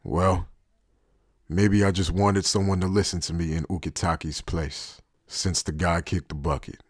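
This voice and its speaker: Man playing a deep-voiced laid-back tough guy. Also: level tone, measured pace, breathy edge, no echo, studio quality. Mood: wistful. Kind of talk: anime fan dub acting.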